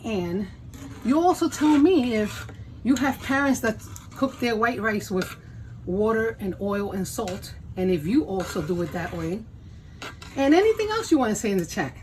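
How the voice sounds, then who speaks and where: A woman in her fifties speaks with a Puerto Rican accent as she talks through a cooking video. She is chatty and self-deprecating, at a middling pitch, in a home kitchen with a faint hum of background noise. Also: expressive, normal speed, darkish tone, low-quality recording. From Puerto Rico. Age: 50s. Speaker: cooking channel host. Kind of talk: casual cooking vlog.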